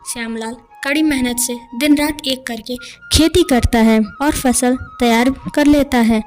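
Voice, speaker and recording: A woman's voice: high-pitched, measured pace, soft background music. A woman narrator reading a scripted moral story in a bedtime tale style.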